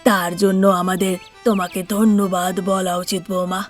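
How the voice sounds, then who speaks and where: A woman plays a kindly older father-in-law in a cartoon. The delivery is flat and even, gentle and appreciative, over music. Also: medium pitch, measured pace, natural voice. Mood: grateful. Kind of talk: Bengali cartoon voice acting.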